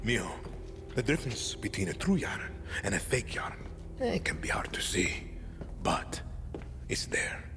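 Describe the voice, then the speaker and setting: An actor playing a calm, menacing middle-aged dictator and father, with a Latin American Spanish accent and a measured, deliberate delivery in a game cutscene. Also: medium pitch, whispered, natural voice. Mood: quiet menace. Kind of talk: dramatic game cutscene acting. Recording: music behind the dialogue.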